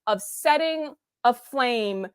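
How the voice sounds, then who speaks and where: Female review host, higher-pitched, dry close sound, earnest and analytical yet conversational. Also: expressive, takes it slowly, clear, resonant tone, no room sound. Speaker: host of a series review discussion. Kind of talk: analytical casual discussion.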